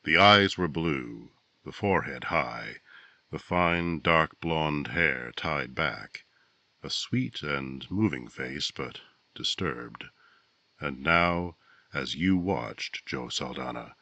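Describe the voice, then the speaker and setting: A man playing a grave, brooding narrator of a 1950s true-crime radio drama, speaking in a dramatic, deliberate way. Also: rumbling low, sharp tone, no echo, full character voice.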